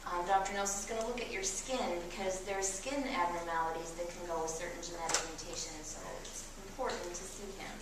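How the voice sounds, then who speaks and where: A woman gives an informal medical talk in a plain-spoken, explanatory manner. She is heard at a distance from the microphone, in a strongly reverberant room. There is clear noise behind her, and her pitch sits in an ordinary middle range. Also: fairly even intonation, brisk, hardly any pauses. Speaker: cancer genetics clinic staff member giving a lecture. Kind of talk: informal public medical lecture. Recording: very echoey room, distant mic, background noise.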